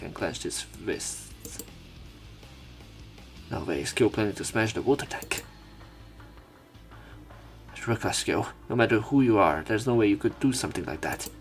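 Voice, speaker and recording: Low voiced guy reading a visual novel out loud and commenting, bit of music behind him. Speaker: let's play narrator.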